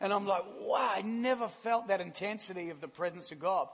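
Croaky-voiced man preaching. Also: sits high, brisk, fairly bright tone. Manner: chatty, self-deprecating, laughs often. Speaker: guest speaker. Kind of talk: casual, joking church talk. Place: large church hall. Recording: live church hall with long reverb.

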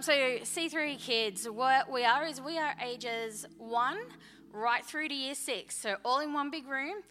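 A woman's voice: sits high, bright, forceful tone. A woman children's team leader, explaining the kids' program in a friendly, slightly nervous way. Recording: reverberant church hall with music behind.

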